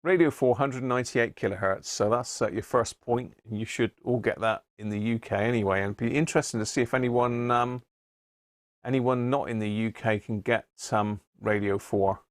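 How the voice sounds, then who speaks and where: A man's voice: medium pitch, steady tone, normal speed, no room sound, clean studio sound. A man hosting a casual live stream, explaining things informally and without hurry as he demonstrates his hobby.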